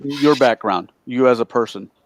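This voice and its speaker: A man chatting loudly and boisterously during a tabletop game livestream. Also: medium pitch, moderate pace, background noise. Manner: loud, boisterous talker. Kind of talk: casual chat on a livestream.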